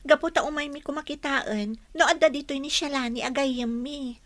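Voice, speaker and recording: A woman acting an inquisitive, excitable young friend in an Ilocano audio drama. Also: sits high, normal speed. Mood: surprised, curious.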